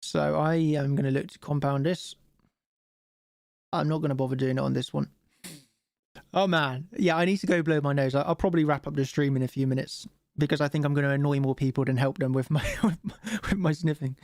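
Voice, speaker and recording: A man's voice: a little high, fairly even intonation, a little fast, gravelly, darkish tone, no echo, clean studio sound. A man with a cold and a stuffy nose, chatting casually while hosting a live trading stream and asking viewers for likes. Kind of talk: live-stream chart commentary.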